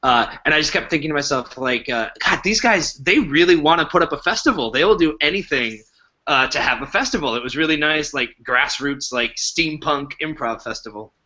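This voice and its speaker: Very bright, forceful man. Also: fairly high pitch, expressive, fairly quick, lo-fi sound. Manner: enthusiastic, informal. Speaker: podcast guest, improv festival organizer. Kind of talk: casual podcast discussion.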